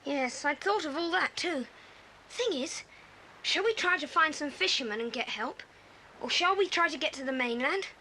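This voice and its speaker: A woman's voice: sits high, animated, normal speed, sharp tone, exaggerated voice. A woman playing a bold, bossy boy who leads an adventure in a children's audio drama. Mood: planning. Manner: decisive, commanding. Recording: faintly hissy old cassette tape.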